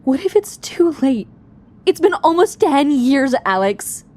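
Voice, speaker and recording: A woman's voice: high voice, sing-song, unhurried, a little mellow. A woman acting in an audio drama as a guilt-ridden young woman searching for her missing sister. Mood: pained, regretful.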